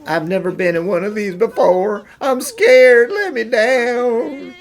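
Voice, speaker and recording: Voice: mid-range voice, slow pace. An actor playing a timid, whiny, emotional young male farmer in a cartoon fan dub, plaintive and hesitant. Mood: scared, whining.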